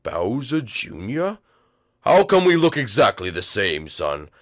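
A performer voicing a huge, stern male monster king who talks slowly and menacingly to his son. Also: mid-range voice, big pitch swings, pitched below the performer's own voice.

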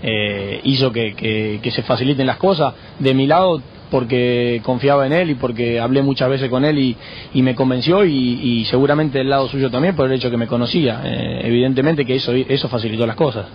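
Argentine footballer, calm halting answers, dull radio sound with light hiss. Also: male voice, mid-range voice. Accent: Argentine Spanish. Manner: measured, frequent hesitations and restarts. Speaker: footballer guest. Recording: muffled radio broadcast with faint noise.

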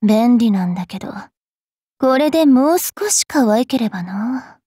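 A woman playing a haughty, cruel young fairy priestess in an anime game, sneering and taunting with a rough mouth. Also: fairly high pitch, animated, unhurried, dark tone, dry recording, clean studio sound. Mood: menacing scorn.

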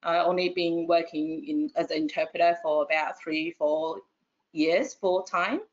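Woman from Taiwan, low-pitched, soft-spoken, dull call audio. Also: fairly even intonation, unhurried. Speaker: interpreter. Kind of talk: self-introduction on a video call.

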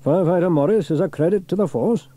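A man's voice: sits high, fast talker, warm tone, dry recording, voice pushed up. A man voicing a cheerful, reassuring fireman hero in a children's storybook. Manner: cheerful, dependable. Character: hearty, reassuring adult male fireman hero.

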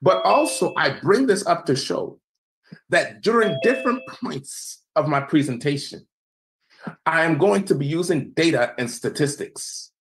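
A young American man giving an evangelism seminar over a video call, measured and preacherly, letting phrase endings fall quiet. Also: animated, vocal fry, low-quality recording. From United States. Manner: measured, preacherly, emphatic, drops pitch and volume at phrase ends. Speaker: seminar presenter. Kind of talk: presentation lecture with slides.

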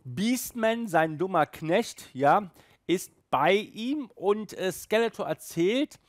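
A man's voice: sits high, animated, takes it slowly, no echo, studio quality. A man in his thirties hosting a casual video review, talking in a chatty, colloquial way. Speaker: host of an audio drama review show. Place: small room with sofa and shelves, indoors.

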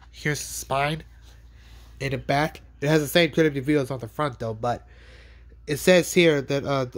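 Stuffy-voiced man filming on his phone at home; halting, repetitive, casual. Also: medium pitch. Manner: halting, repeats words and restarts often. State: has a cold. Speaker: collector showing DVDs. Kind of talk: casual unboxing vlog. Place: bedroom, indoors. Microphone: handheld phone pointed at bed.

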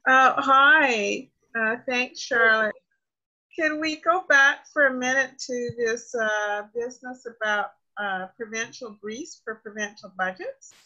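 Female meeting participant, mid-range, sharp bright voice, informal discussion, muffled lo-fi call sound. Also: fairly high pitch, slow. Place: indoors on a group video call. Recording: dull, lo-fi video-call audio.

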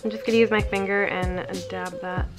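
A woman's voice: medium pitch, level tone, brisk, loud music behind the voice. A young woman with a cold, sounding slightly congested and nasal, chatting casually through a makeup routine at home. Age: young adult. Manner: chatty, walks through each step. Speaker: beauty vlogger. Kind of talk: casual makeup tutorial talk. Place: living room at home.

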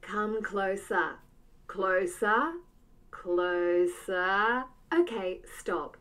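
A synthetic woman's voice with an Australian accent, playing a soft-spoken crystal-ball fortune teller in a hushed whisper. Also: medium pitch, extremely slow. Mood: hushed mystical.

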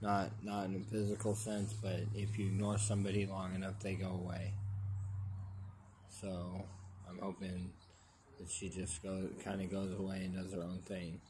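Man, low monotone, selfie vlog outdoors, repetitive halting delivery. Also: noisy background. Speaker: vlogger. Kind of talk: rambling selfie plea to camera. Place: outdoors under a tree. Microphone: phone held at arm's length.

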